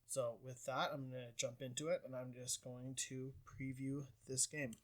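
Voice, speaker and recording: A man from Canada walks through his indie game in a casual devlog voice, mid-pitched and unforced. He rambles and hedges with fillers and pokes gentle fun at his own work. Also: flat delivery, normal speed. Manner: rambling, self-deprecating, frequent fillers.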